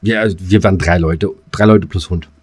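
Low-pitched man chatting, dry close sound. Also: steady tone, fairly quick, a little mellow, breathy edge, no echo. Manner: colloquial, hesitant, rambling, with crude slang. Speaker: podcast co-host. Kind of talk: casual podcast chat.

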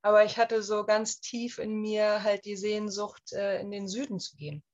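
A woman's voice: medium pitch, normal speed. A woman from Germany in her thirties, chatting casually as an interview guest with lots of fillers. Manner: chatty, many fillers, lively retelling. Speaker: guest, emigrant. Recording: slightly dull lo-fi sound.

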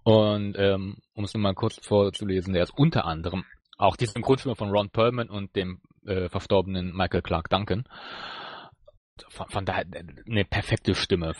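Male podcast co-host, lowish husky voice, casual and hesitant delivery, closely recorded and dry. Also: steady tone, moderate pace, a little mellow, dry recording. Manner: hesitant, searching for words. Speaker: podcast co-host reviewing audio dramas. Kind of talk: casual discussion.

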